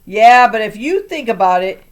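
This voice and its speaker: A woman reading an audiobook as a gruff, argumentative old male motel manager with a raspy voice. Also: fairly high pitch, animated, normal speed, close to an everyday voice. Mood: grumpy, argumentative.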